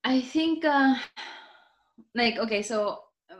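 A woman's voice: high voice, slow pace, dull audio. Japanese-accented woman, chatty and loose. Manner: talks in loose restarts with many "like" fillers. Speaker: guest, author. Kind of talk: casual conversational interview.

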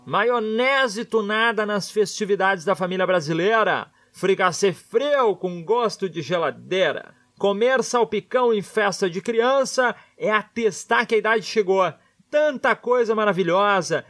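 A man's voice: high voice, measured pace, a bit bright. A man with a Brazilian accent delivering a mocking, theatrical comic monologue. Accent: Brazilian Portuguese.

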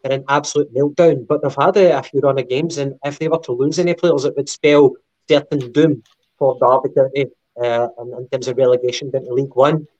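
A man co-hosting a podcast, going through football news in a measured, casual way with lots of ums and uhs. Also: medium pitch, fairly even intonation, darkish tone, lo-fi sound. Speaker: podcast co-host.

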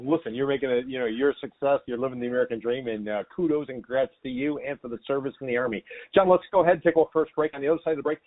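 A man hosting a real estate podcast, talking briskly and upbeat as he interviews a guest and reads promos. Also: medium pitch, narrow, tinny audio. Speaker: podcast host.

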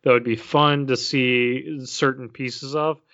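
A man co-hosting a casual podcast, speaking in a measured, hesitant way as he thinks aloud. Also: mid-range voice, steady tone, a bit bright, dry recording, muffled recording. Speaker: podcast co-host. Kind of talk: casual chat about video games and movies.

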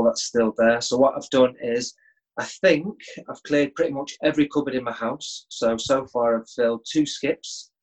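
Middle-aged man, easygoing interview guest on a video call, mid pitch. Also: low-quality recording. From England. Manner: relaxed, easygoing with chuckles and restarts. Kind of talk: casual interview chat.

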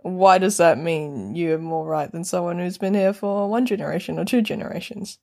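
Woman, New Zealand accent, low voice, gravelly, casual chat, laughs a lot. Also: normal speed, warm tone. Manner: laughs often, talks with many fillers like "you know". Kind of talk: casual conversation.

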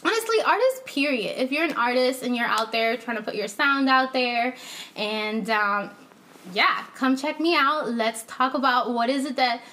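A woman's voice: sits high, normal speed, sharp tone, slight background noise. A woman hosting a podcast interview in a casual, chatty way, laughing often and filling gaps with "um" and "like". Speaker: podcast host.

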